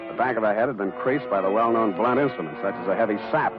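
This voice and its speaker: An actor playing a hard-boiled, wisecracking private detective in terse, deadpan 1940s radio style. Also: male voice, lowish voice, fast, lightly acted. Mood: deadpan narration. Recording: dull 1940s radio recording, background music.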